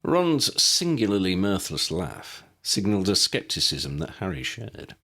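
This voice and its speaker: A man reading his own story aloud as an audiobook narrator, with an expressive storytelling manner. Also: low-pitched, a little slow.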